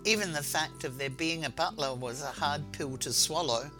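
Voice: mid-range voice, normal speed. Storyteller reading over prominent music. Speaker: author reading own short story. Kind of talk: storybook narration.

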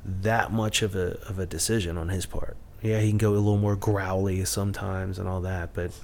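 Low-voiced man in casual chat. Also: level tone, vocal fry, a little mellow, a little hiss or hum. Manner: rambling, self-deprecating, halting restarts. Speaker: podcast co-host.

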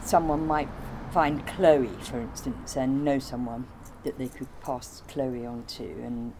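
Low-voiced woman recalling things casually. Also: steady tone, a little slow, noisy background. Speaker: artist being interviewed. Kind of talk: casual conversational recollection.

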